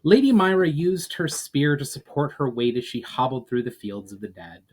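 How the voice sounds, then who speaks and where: Male author-narrator for fantasy storytelling, medium pitch, measured and formal delivery. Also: animated, darkish tone, husky. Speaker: author reading own book. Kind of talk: dramatic audiobook-style narration of fantasy fiction.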